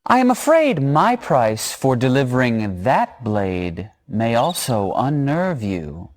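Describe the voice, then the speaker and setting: An actor playing a sly, insinuating trader with courtly, measured speech in a fantasy video game. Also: male voice, medium pitch, big pitch swings. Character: sly, smooth-talking shady trader with a cold grudge. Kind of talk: video game cutscene acting.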